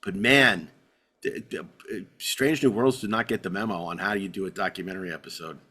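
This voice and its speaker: A man hosting a podcast in casual chat, halting and often repeating his phrases. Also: lowish voice, bright, forceful tone. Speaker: podcast host.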